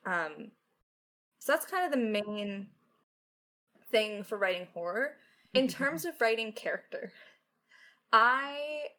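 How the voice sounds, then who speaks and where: A talkative woman speaking as a podcast writer in a casual Q&A, reflective and halting, with self-deprecating asides. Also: mid-range voice, bright, forceful tone. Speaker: podcast lead writer answering questions. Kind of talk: casual creator Q&A.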